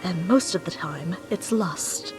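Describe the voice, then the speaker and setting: Solemn, sorrowful ancient forest goddess. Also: female voice, on the low side, rough recording. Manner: solemn, formal, measured speech. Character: sorrowful ancient goddess of bloodthirsty dark woods. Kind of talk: visual novel voice acting. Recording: background music.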